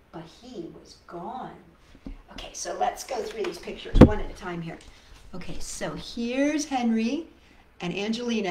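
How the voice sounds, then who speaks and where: A woman reading a picture book aloud as a storytime host, expressively, with sympathetic, coaxing asides to young viewers. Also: medium pitch. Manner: sympathetic, coaxing, talks directly to children. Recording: echoey room, faint background noise.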